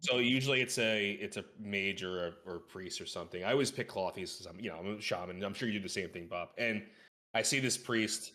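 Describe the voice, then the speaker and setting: A man's voice: fairly low pitch, brisk, bright, forceful tone. A man chatting casually on a gaming podcast, informal and often checking that listeners agree. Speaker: podcast guest or co-host.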